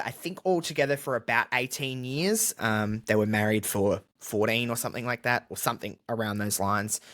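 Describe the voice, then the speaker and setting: A man's voice: mid-range voice, sharp tone. Casual, candid Australian man. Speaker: podcast guest. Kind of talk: casual conversational interview.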